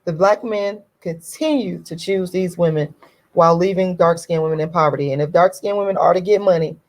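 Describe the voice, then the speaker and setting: A woman with a hoarse, deep voice, giving a blunt, emphatic opinion monologue to her online audience and repeating her key points. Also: a little fast, a little mellow. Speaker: YouTube commentator.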